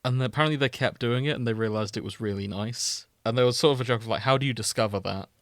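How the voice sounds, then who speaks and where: A man's voice: mid-range voice, fast talker, a bit bright, dry recording, studio quality. A man hosting a podcast, talking in a casual, joking, conversational way. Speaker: podcast host. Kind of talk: casual comedic chat.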